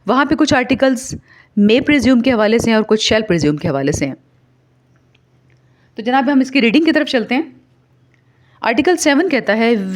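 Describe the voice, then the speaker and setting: A woman with a Pakistani accent giving an explanatory lecture in a didactic way, mixing Urdu and English and slowing down to read aloud. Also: fairly high pitch. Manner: didactic, code-switches between Urdu and English. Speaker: law lecturer. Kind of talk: explanatory exam lecture, alternating slow read-aloud with commentary.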